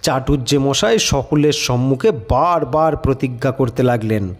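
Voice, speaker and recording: A man's voice: medium pitch, animated, measured pace, faint music behind. A man reading a literary audio story as a dramatic scripted narration.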